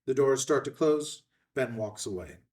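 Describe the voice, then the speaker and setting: A man's voice: mid-range voice, animated, measured pace, darkish tone. Guy narrating a film script's action lines, midrange voice, a bit away from the mic. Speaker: stage directions narrator. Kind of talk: scripted narration at screenplay table read. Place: indoor filmed table read. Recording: slightly distant room microphone.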